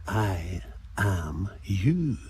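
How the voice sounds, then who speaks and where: A sardonic Scottish man of middle age, needles and banters in a radio play. His voice is mellow and very animated in pitch, with faint noise behind it. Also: extremely slow, heavily acted. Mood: sardonic, cocky. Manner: sarcastic, cocky, bantering. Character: sardonic older Scottish man, embodiment of the unconscious mind. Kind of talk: radio drama acting.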